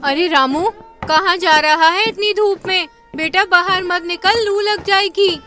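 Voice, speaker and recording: Soft weary mother, high voice, cartoon story. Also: measured pace, soft background music, full character voice. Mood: soothing. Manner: soothing, weary. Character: gentle poor village mother.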